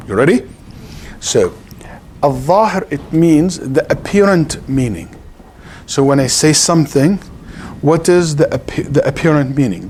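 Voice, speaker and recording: A man's voice: fairly high pitch, darkish tone. A man with an Arabic accent teaches an interactive religious lesson as an Islamic lecturer, explaining didactically and checking understanding with questions. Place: live class at an Islamic center. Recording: clearly noisy live room recording.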